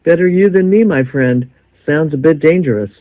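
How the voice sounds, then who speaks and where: A woman's voice: deep voice, moderate pace, dark tone, lightly acted, poor audio. An actress playing a skeptical, wry female newspaper editor in an audio drama, doubtful in manner.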